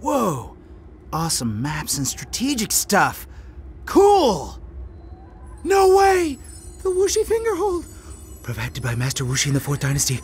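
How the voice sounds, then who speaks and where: A man playing a goofy young panda hero in an animated action game, exclamatory like a gushing fan. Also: high-pitched, measured pace, pauses often, slight background noise, full character voice. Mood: awestruck.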